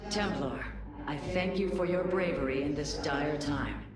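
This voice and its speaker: Stern, low-voiced alien matriarch. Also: female voice, a little slow. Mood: solemn, resolute. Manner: stern, formal, guarded. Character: proud, wary alien matriarch, leader of dark warriors. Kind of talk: video game cinematic acting. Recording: echoing voice under loud music.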